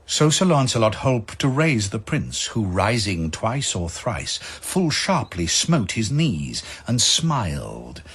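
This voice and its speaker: A man narrating dramatic verse in a measured, solemn manner with weighty pauses. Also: on the low side, sing-song, a bit bright, breathy edge.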